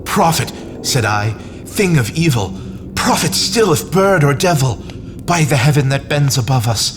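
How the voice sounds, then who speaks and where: A man reading a gothic poem as a theatrical narrator, with a solemn, measured, brooding delivery. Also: mid-range voice, expressive, music in the background. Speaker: narrator, poetry reader. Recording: clear background noise and ambience.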